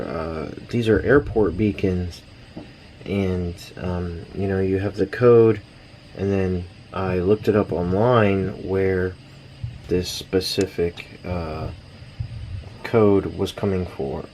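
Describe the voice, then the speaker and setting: An American man in his thirties, rambling casually and haltingly as he thinks aloud in a laid-back hobby vlog. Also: low voice, dark tone. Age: 30s. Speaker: radio hobbyist, vlogger. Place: small backyard shed. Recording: noisy shed, fireworks in distance. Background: background noise, distant fireworks popping.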